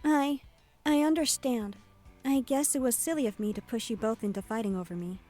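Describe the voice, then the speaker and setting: A woman acts out a soft-hearted young love interest from a visual novel game. Her voice is high, gentle and slightly hesitant. Faint music plays behind her. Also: fairly quick, natural voice. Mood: flustered, hurt.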